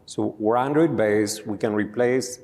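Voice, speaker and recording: A man's voice: fairly low pitch, measured pace, darkish tone. A man from Greece with a Greek accent, a company founder explaining his product and telling a customer story on a conference panel. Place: conference stage. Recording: reverberant conference hall.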